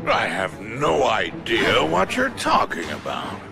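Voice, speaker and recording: An actor playing a big, scheming crime boss in a video game, pompous, theatrical and volatile. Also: male voice, on the low side, wildly swooping pitch, takes it slowly, gravelly, over music, exaggerated voice. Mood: smug, menacing. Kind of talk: video game cutscene voice acting.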